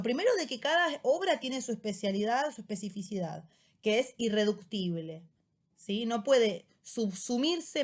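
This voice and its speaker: A woman teacher with an Argentine accent, explaining a text in a relaxed lecture style and often checking in with short tag questions. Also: fairly high pitch. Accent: Argentine Spanish. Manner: casual rioplatense slang. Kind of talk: recorded lecture summary.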